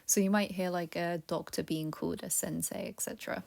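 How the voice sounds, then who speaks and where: Young woman, lowish voice, chatty, laughs a lot, soft whispered word glosses. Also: fairly even intonation, fairly quick, gravelly. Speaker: language teacher. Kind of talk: casual vocabulary lesson.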